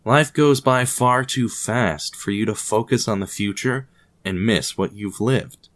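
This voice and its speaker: A man's voice: lowish voice, measured pace, fairly bright tone. A man narrating scripted fiction in a wry, ominous, formal manner, addressing the listener directly.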